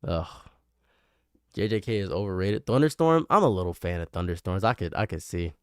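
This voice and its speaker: A man's voice: low voice, animated, brisk, smooth, no echo, studio quality. A young man with a nasal voice, rambling and self-mocking as he chats with his live stream viewers. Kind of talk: casual live stream chat.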